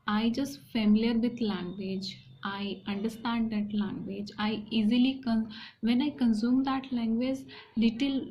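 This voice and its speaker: A woman from India with an Indian accent, speaking hesitant, halting English with repeated phrases in a personal talk to viewers. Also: on the high side. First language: an Indian language alongside Hindi. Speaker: vlogger. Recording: dull lo-fi sound, faint background noise.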